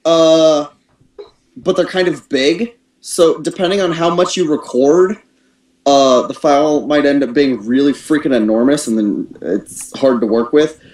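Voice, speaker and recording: A man speaks informally and candidly, as an audio editor would in a casual online meeting. His voice has a clearly roomy echo around it. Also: fairly high pitch, measured pace, darkish tone. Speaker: audio editor reviewing recordings. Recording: roomy echoing voice-call recording.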